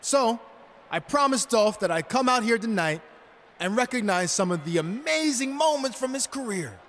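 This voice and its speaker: A man's voice: very high voice, lively intonation, moderate pace, fairly bright tone, a little hiss or hum, exaggerated voice. A man playing a cocky, mocking champion wrestler in a game, giving a sarcastic, taunting promo speech.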